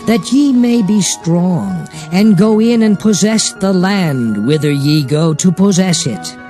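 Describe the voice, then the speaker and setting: A solemn old testament prophet in a bible audio drama, slow declamatory delivery, music underneath. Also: male voice, sits high, warm tone. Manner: solemn, declamatory, measured phrasing. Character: Moses, solemn male prophet exhorting his people. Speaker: scripture narrator. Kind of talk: dramatized Bible reading in archaic English.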